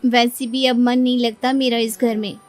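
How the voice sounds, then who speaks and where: A woman's voice: on the high side, little pitch movement, moderate pace, soft background music, lightly acted. A woman voicing an affectionate, pouty young newlywed wife in a cartoon moral story, coaxing and plaintive. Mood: coaxing, worried.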